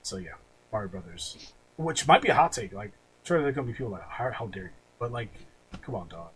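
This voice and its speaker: A man's voice: on the low side, sing-song, quick pace, a bit bright, rough recording. A man hosting a podcast, chatting casually with opinions and the odd swear word. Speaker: podcast host. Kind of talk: casual opinionated film-ranking chat. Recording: clearly audible background noise.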